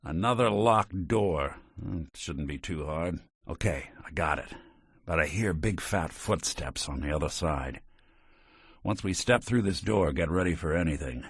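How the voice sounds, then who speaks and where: Low gravelly robot gumshoe guy, dry wisecracks, voice swings around a lot, dead dry studio sound. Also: lively intonation, measured pace, dry recording, full character voice. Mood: wry. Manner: dry, wry noir detective delivery. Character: gruff weary male synth private detective. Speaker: companion character. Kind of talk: video game voice acting.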